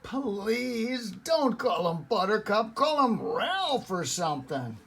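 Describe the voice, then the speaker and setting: Older man playing a cocky, high-voiced storybook boy. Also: sing-song, takes it slowly, fairly bright tone, full character voice. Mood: exasperated. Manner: slangy, blunt and exasperated. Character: cocky, impatient boy telling a macho tale. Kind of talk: animated storybook read-aloud.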